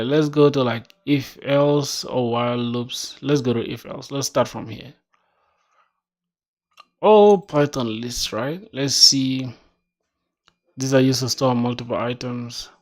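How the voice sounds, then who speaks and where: Young man, from South Sudan, self-taught coder, slow and thoughtful, casual think-aloud. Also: mid-range voice, a little mellow. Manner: casual, musing, reads docs aloud, says "bro". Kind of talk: thinking aloud while coding.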